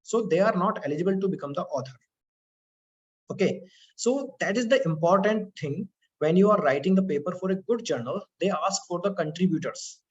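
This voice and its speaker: A man's voice: high voice, expressive, fairly quick, dull audio. A man with an Indian English accent giving an online lecture to students, talking in a conversational way with frequent "okay" and "you know" fillers.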